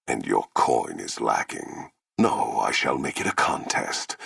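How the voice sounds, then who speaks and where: Towering game warlord: extremely low, husky rumble, grim and proud, commanding, with big dramatic swings in intonation. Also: male voice, fairly bright tone, dry recording, studio quality, full character voice. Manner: solemn, commanding, formal and portentous speech. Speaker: video game voice actor. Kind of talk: theatrical video game villain acting.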